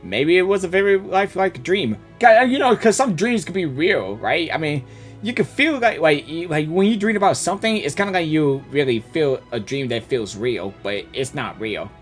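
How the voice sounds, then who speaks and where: A woman game streamer giving casual, excitable live reactions and reading dialogue aloud, often repeating herself. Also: low voice, normal speed, soft background music.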